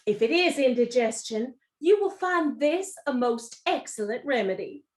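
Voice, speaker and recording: A woman's voice: high-pitched, expressive, unhurried, a little mellow. A woman playing a composed, practical mother and wife in a radio play. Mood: concerned.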